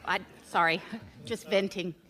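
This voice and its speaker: An actress playing a naive, nosy, excitable female detective's assistant in a radio mystery. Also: mid-range voice, measured pace. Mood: eager, nosy.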